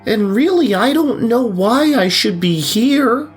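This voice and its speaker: A prim turkey ghost in a children's audio drama, played by a woman. Speech is slow and formal, with hesitations and a lively rise and fall. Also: fairly high pitch, over music. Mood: hesitant, detached. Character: ghost of a store-bought turkey, prim, fair-minded, formal.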